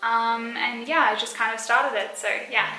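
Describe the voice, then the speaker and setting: Young woman, mild Australian accent, bright voice, chatty and giggly, echoey room. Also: fairly high pitch, measured pace, soft background music. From South Africa, raised partly in Australia. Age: early 20s. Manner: chatty, many fillers, frequent giggles. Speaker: beauty and lifestyle YouTuber. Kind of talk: casual chat, answering viewer questions.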